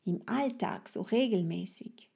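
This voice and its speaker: Slow-spoken woman with a French accent. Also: mid-range voice, animated, gravelly, warm tone, husky. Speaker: podcast host and French language coach. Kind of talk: scripted podcast lesson, addressing the listener directly.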